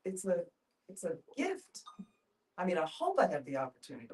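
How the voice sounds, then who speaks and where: A woman speaking earnestly and haltingly in a reflective group discussion. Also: lowish voice, wildly swooping pitch, darkish tone, recorded from a distance.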